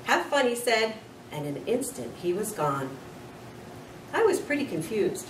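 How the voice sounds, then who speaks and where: Expressive woman reading aloud, echoey room. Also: mid-range voice, unhurried. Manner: expressive, with small slips and corrections. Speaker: school nurse reading to children. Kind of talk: children's storybook read-aloud. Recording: roomy, distant mic with faint noise.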